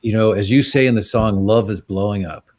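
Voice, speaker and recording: A man's voice: fairly low pitch, darkish tone, no echo. A man hosting a live online conversation, thanking his guests gratefully and often restarting his sentences over a video call. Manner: halting, grateful, repeats words while starting sentences. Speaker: event host.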